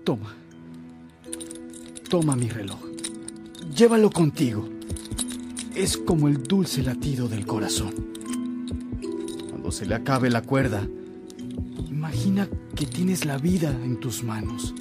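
A selfless, fatherly priest in a radio drama. His medium-pitched voice moves slowly and solemnly, with music clearly behind it. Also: sing-song. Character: kindly, selfless older priest, fatherly mentor. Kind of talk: Spanish-language radio drama acting.

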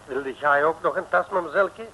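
An actor playing a polite, officious French police brigadier in a 1960s comic radio serial. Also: male voice, on the high side, normal speed, bright, forceful tone. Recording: noisy old radio recording.

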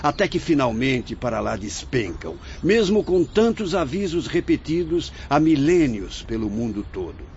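A man's voice: mid-range voice, very animated, a little slow, hardly any pauses, darkish tone. A man acting in a radio drama as a grave, fatherly Brazilian spirit director who instructs his apprentices in a solemn, declamatory way. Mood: grave, admonishing. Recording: muffled lo-fi audio with faint noise.

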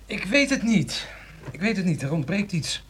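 An actor playing a shrewd, wry thriller writer in a radio drama, thinking aloud hesitantly. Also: male voice, high voice, big pitch swings, moderate pace, a bit bright, slightly rough, full character voice. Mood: wry, pondering.

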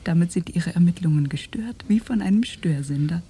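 A woman's voice: lowish voice, a little fast, warm tone, a little hiss or hum, natural voice. An actress playing an enigmatic young woman working for a syndicate, coolly cryptic and teasing with menace, in a German radio drama. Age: young adult.

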